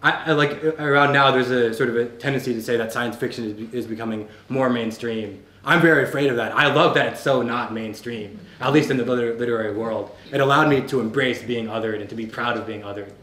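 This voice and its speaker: A man's voice: medium pitch, fairly bright tone. Passionate American man on a panel. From United States. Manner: quick, passionate, argumentative, with restarts. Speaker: panelist, novelist. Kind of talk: animated panel talk. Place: festival panel room. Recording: echoey room, faint ambience.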